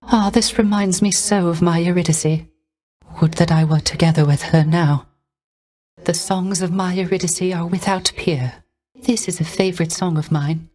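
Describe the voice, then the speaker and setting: A gentle, sorrow-tinged male musician from a video game. The voice is light and rather high for a man, delivered softly and with genteel care. Every phrase is formal, theatrical and ornately polite, carrying a wistful devotion. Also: steady tone, normal speed. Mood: tender devotion. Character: gentle, melancholy court musician, devoted and courteous.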